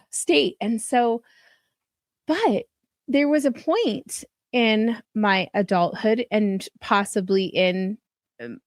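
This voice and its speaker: Woman, middle-aged, podcast host, mid-pitched voice, affirming, chatty storytelling, laughs often, dry close sound. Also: dry recording. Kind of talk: casual interview conversation.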